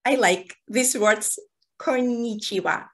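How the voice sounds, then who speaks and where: A woman's voice: on the high side, takes it slowly, fairly bright tone. A woman who is not a native English speaker, giggly and cheerful, chatting casually in a language tutorial as host and learner. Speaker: amateur radio host learning Japanese.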